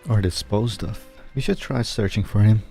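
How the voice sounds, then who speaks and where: Low-voiced man streaming a game. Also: moderate pace, creaky, warm tone, soft background music. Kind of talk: casual playthrough commentary, reading dialogue aloud.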